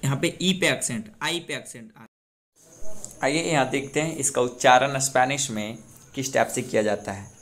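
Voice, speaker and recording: A young man from Bihar, India, teaching a pronunciation lesson and repeating each word slowly for practice. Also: mid-range voice, fairly bright tone. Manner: slow, repeats words drill-style. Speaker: language teacher.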